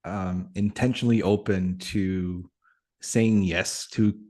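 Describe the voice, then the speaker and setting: A man from Atlantic Canada whose first language is French, talking as a guest on a video call, reflective and pausing often with fillers. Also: deep voice, fairly even intonation, darkish tone. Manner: thoughtful, many pauses and fillers. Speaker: guest, community facilitator. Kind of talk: reflective interview conversation.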